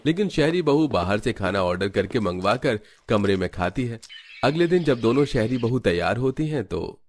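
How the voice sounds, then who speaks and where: A man's voice: mid-range voice, lively intonation, moderate pace, a little hiss or hum. A man reading scripted narration for a family storybook cartoon.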